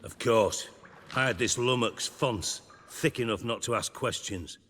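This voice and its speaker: An actor playing a cynical, foul-mouthed former count turned crime boss in a video game, with sardonic, clipped delivery over music. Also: male voice, medium pitch, unhurried, a bit bright, husky. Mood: wry storytelling. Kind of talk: video game character acting.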